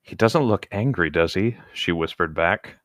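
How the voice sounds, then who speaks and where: Deep-voiced man with lively intonation. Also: moderate pace, dry recording, studio quality. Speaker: co-host of a book read-aloud show. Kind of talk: reading a novel aloud with casual joking banter.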